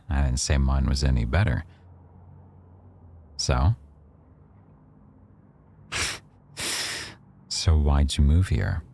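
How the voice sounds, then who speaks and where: A man playing a rough-edged mafia boss who owns a cafe, teasing, probing and quietly threatening, in a boyfriend ASMR roleplay. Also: rumbling low, extremely slow, long pauses, a little hiss or hum, full character voice. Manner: teasing, probing, smugly self-assured.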